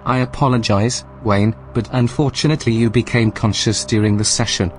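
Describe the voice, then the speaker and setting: A calm male artificial intelligence played by a man runs a research station in a science-fiction audio drama. He speaks in a formal, clinical and politely measured way at a middling pitch. Faint music sits behind him. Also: natural voice. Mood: calm, apologetic.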